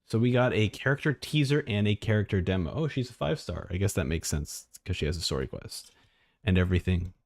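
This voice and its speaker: A man's voice: low voice, lively intonation, fairly quick. A man chatting casually and thinking aloud as a live streamer reacting to game trailers. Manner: rambling, informal, thinking aloud.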